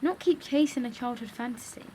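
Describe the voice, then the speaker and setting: An actress playing a firm, wistful young video maker, earnest and plain-spoken, in an audio drama. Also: female voice, on the high side, a little fast, dark tone, a little hiss or hum, natural voice, poor audio. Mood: resolute, wistful.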